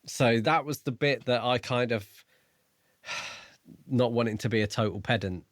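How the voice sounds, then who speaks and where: A man co-hosting a podcast, casually picking through a book in a measured, analytical way. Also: medium pitch, a bit bright, dry recording. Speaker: podcast co-host.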